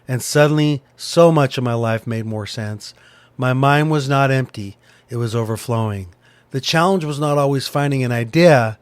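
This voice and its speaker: A man hosting a podcast, giving a personal reflection to listeners in deliberate, short, repeated phrases. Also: mid-range voice, no echo. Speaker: podcast host and pastor.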